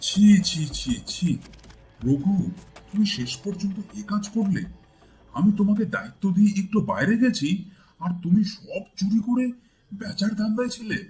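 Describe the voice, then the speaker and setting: A man's voice: sits high, expressive, normal speed, darkish tone. A man voicing a stern, indignant village landlord in a cartoon, imperious and exclamatory. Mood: outraged. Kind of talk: cartoon voice acting.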